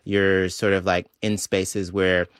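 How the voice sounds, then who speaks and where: A man's voice: deep voice, little pitch movement, slow, fairly bright tone, clear, resonant tone, no echo, clean studio sound. A man with an American accent and a slight Southern drawl, chatting casually as a guest. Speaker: comedian guest. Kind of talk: casual interview chat.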